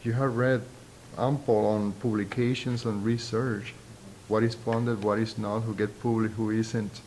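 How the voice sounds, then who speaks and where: A man's voice: level tone, unhurried, a little mellow. Man with thick Puerto Rican accent, noisy recording. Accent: Puerto Rican Spanish. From Puerto Rico. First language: Spanish. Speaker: university professor being interviewed. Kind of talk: reflective interview answers. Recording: noisy, low rumble behind.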